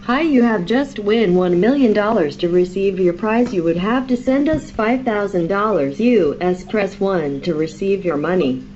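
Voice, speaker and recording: A woman's voice: mid-range voice, normal speed, few pauses, darkish tone, soft background music. A synthetic woman's voice reading a scripted automated phone announcement in a formulaic way. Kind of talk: scripted prize scam announcement. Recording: muffled playback with faint noise.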